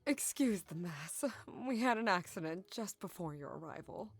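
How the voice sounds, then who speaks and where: A woman's voice: lowish voice, lively intonation, moderate pace, gravelly, breathy edge, soft background music, full character voice. A woman playing a flustered, doting middle-aged mother in an audio drama, fussing and imploring. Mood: apologetic.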